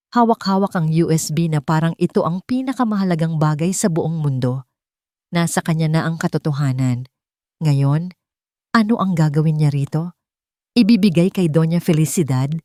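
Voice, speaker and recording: Low-pitched woman narrating a dramatic story. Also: expressive, normal speed, mellow, no echo, clean studio sound.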